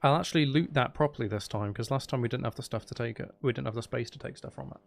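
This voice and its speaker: Playful man with a creaky, gravelly edge. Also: medium pitch, fast. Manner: playful, teasing, rambling. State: tired. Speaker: livestream host. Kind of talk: casual gaming livestream chat.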